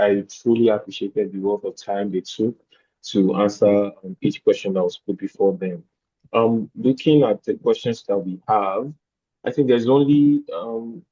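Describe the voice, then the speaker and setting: A man hosts a webinar Q&A in a low, slightly husky, mellow voice, hesitating often with ums. The call audio is dry and muffled. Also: no room sound. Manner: soft, hesitant, frequent ums. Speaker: webinar host and moderator. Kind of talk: moderating an online webinar. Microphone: video call.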